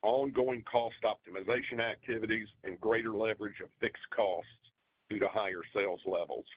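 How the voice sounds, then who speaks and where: A man's voice: lowish voice, fairly even intonation, normal speed, breathy edge. A man giving a scripted financial report on an earnings call as a company finance executive, in a formal, businesslike way. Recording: dull, dry conference call audio.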